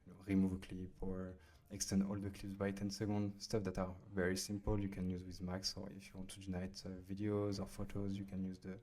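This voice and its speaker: A man with a French accent, casually talking through a software tutorial at his computer, pausing often with fillers as he types. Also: sits low, monotone. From France. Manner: hesitant, many fillers while typing. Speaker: software demo host. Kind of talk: casual screen-recorded tutorial.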